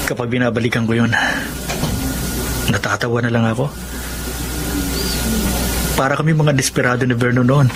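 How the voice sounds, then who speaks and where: A man's voice: mid-range voice, noisy background, lightly acted. A man playing an earnest, affectionate young dreamer in a Filipino radio drama. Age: young adult. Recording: dramatic music behind the voice.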